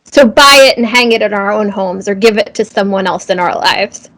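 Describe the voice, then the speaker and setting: A woman's voice: mid-range voice, normal speed, fairly bright tone, poor audio. A woman hosting a casual live interview, enthusiastic and appreciative as she puts questions to her guest. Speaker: interview host.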